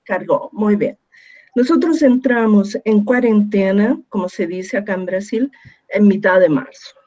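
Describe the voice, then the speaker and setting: A Chilean-born woman whose Spanish carries a strong Brazilian Portuguese accent, giving a measured talk on a video call with frequent hesitations. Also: mid-range voice, warm tone, dull audio. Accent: Chilean Spanish with strong Brazilian Portuguese influence. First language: Spanish, long living in Brazil. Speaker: architect and webinar speaker.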